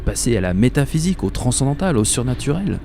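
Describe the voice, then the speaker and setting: A man's voice: medium pitch, big pitch swings, quick pace, vocal fry, warm tone, a little hiss or hum. A man playing a dreamy, obsessive commuter who narrates a radio fiction in a colloquial, wry, confiding way. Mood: wry, musing. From Paris, France. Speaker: narrator and protagonist. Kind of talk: first-person radio fiction monologue.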